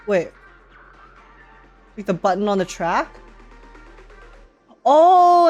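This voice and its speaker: A woman live-streaming a game with casual, playful, slangy reactions to what happens. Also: on the high side. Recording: faint game music behind.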